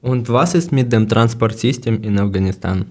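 A man from Ukraine co-hosts a casual podcast in learner German. His voice is mellow and dark in tone and he states things plainly. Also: mid-range voice, moderate pace. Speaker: podcast co-host.

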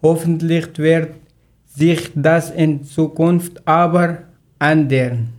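A man from Afghanistan chats on a small podcast in careful learner German. His voice sits a little high and he takes his time over each phrase. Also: steady tone, takes it slowly. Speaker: podcast co-host. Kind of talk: casual chat, learner German.

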